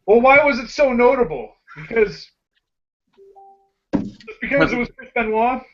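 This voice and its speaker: A man's voice: extremely high pitch, lively intonation, measured pace, slight background noise. A man hosting a rowdy group video chat, joking and giggling a lot. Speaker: host of the hangout. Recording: dull lo-fi call audio.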